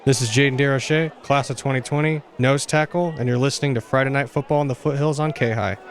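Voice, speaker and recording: A young man, a high school player, reading a short scripted radio station ID. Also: fairly even intonation, a little fast. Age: teens to young adult. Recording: crowd noise behind the voice.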